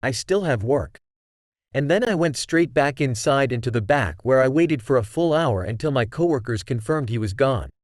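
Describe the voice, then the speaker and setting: A man's voice: mid-range voice, lively intonation, moderate pace, no room sound, studio quality. A man narrating a series of online stories aloud in a scripted, read-aloud style.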